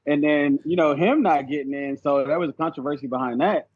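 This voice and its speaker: A man's voice: a little high, a little fast, dry recording. A man chatting casually on a sports podcast, emphatic and prone to repeating phrases to make his point. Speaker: podcast guest, competitive cornhole player. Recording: dull, band-limited sound.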